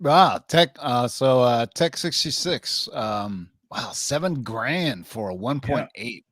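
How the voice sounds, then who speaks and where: A man's voice: medium pitch, expressive, takes it slowly, fairly bright tone, dry recording, studio quality. A man hosting a comic book podcast, steering the segments and playfully interrupting his co-hosts.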